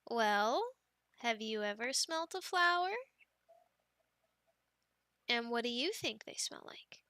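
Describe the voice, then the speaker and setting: A young woman voicing an affectionate, teasing girlfriend in an ASMR roleplay, speaking softly, giggling and dropping into whispers, close to the mic. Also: a little high, animated, extremely slow, long pauses, dry recording, exaggerated voice. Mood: playful. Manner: soft and giggly, drifting into whispers.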